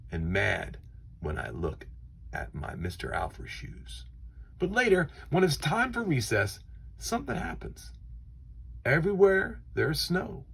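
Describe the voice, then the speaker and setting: A man reading a children's story aloud in an expressive, dramatic way with deliberate phrasing. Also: on the low side, gravelly, husky. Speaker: storybook reader.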